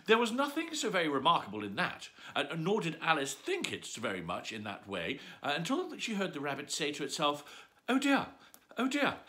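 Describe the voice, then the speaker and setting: A man hosting a show, reading a children's book aloud as a bedtime story in an animated, theatrical way. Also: a little high. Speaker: storyteller host.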